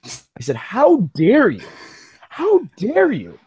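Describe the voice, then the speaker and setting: An American man co-hosting a casual live fan podcast, talking in an excitable, joking way. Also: extremely high pitch, slow pace, slightly rough, dry recording. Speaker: podcast co-host.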